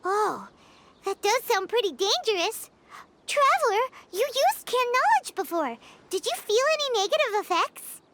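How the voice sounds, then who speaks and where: Casting: tiny chatty fairy sidekick for a game, very high, sing-song, blunt and teasing, music under. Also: female voice, big pitch swings, background noise. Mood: curious. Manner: blunt, teasing, quick to comment. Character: tiny floating female fairy companion, chatty. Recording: game audio with music behind.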